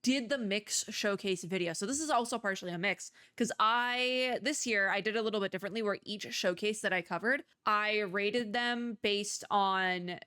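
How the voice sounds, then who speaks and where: A woman's voice: mid-range voice, moderate pace, few pauses, sharp tone, clear, resonant tone. A woman giving casual, chatty game commentary as a streamer and reviewer, with informal, playfully exasperated reactions.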